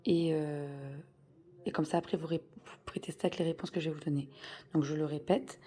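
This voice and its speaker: A woman's voice: low-pitched, fairly even intonation, brisk, mellow. A woman teaching a beginners' reading lesson, patiently spelling out sounds step by step and repeating key words. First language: French.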